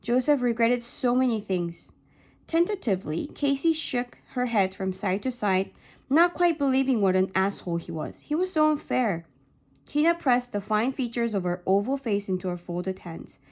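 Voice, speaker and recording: A woman whose first language is Korean, reading a novel aloud in a steady, clear storytelling voice. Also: on the high side, moderate pace. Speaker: reader.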